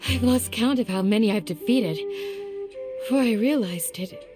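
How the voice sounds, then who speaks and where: A woman voicing a young village guardian in a game, calm, courteous and firm, with polite, measured phrasing. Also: a little high, over music, natural voice. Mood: composed.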